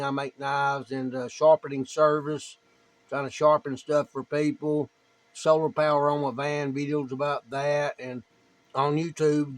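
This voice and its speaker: A man with an East Tennessee accent chatting casually as a ham radio operator, in a folksy, plain-spoken way. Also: fairly high pitch. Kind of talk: casual chat and demonstration over ham radio.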